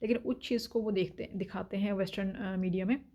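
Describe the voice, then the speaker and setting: Chatty, frank woman vlogger with a mellow voice. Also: medium pitch, steady tone, a little fast. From India. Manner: chatty, frank, opinionated, many filler sounds. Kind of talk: casual talking-head vlog. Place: home in Denmark.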